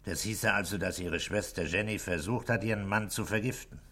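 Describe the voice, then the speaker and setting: A police chief inspector in a 1960s German radio drama. His voice is deep yet bright and forceful. He is patient and authoritative, putting short, probing questions and giving commands. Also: brisk, breathy edge, exaggerated voice.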